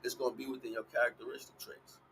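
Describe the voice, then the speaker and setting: Male spiritual livestream speaker, mid-pitched, slangy and profane, emphatic with repetition, slightly noisy recording. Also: flat delivery, fast, a bit bright. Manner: casual street slang with frequent swearing; emphatic, repeats phrases. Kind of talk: rambling motivational spiritual talk.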